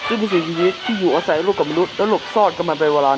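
A man playing a solemn government announcer, formally reading a measured emergency warning for an analog horror broadcast. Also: high-pitched, over music, lightly acted. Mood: solemn, official. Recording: clearly noisy hissing background.

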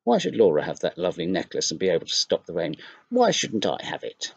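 A man's voice: deep voice, wildly swooping pitch, quick pace, creaky, slightly rough, no room sound, lo-fi sound, heavily acted. A man voicing a jealous, sneaky little schoolgirl in a storybook, sulky and fibbing.

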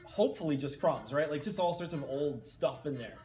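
A young man in a room, talking into a headset mic in a deadpan, earnest infomercial tone. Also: a little high, brisk, slight background noise. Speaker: co-host. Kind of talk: mock-serious cooking commentary.

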